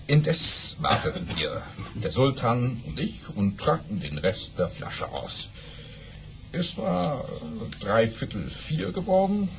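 Theatrical boastful baron, wildly animated. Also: male voice, mid-range voice, vocal fry, a little hiss or hum, full character voice. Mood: suspenseful storytelling. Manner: theatrical, dramatic pauses and exclamations. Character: boastful old baron spinning tall tales with relish. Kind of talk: German audio-play storytelling.

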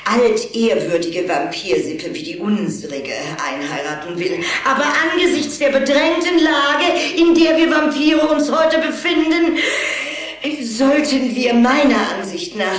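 Vampire aunt , female performer: grand, sentimental widow, easily indignant, drawn-out speech, distant in a very echoey space. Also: on the high side, lightly acted. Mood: hesitant, defensive. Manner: theatrical, drawn-out formal speech. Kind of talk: German audio play acting.

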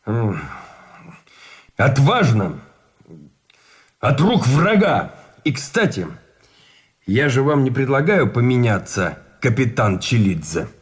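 An actor playing a proud, stern army colonel in a radio play, stiff and commanding, with clipped phrases. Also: male voice, mid-range voice, sing-song, takes it slowly, long pauses.

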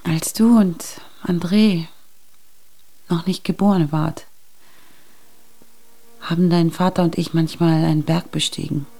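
Weary ageing mother, low creaky voice. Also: steady tone, unhurried, pauses often, mellow. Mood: wistful. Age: middle-aged or older. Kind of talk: German radio drama acting.

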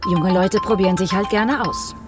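An actress playing a matter-of-fact female detective in a radio drama, probing and gently needling. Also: medium pitch, steady tone, moderate pace, over loud music, close to an everyday voice. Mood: reassuring, needling. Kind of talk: German radio drama dialogue.

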